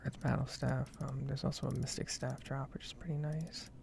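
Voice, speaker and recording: Whispering, very creaky woman, ASMR ramble. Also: rumbling low, fairly even intonation, moderate pace. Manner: soft-spoken, rambling, casual asides. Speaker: gaming video creator.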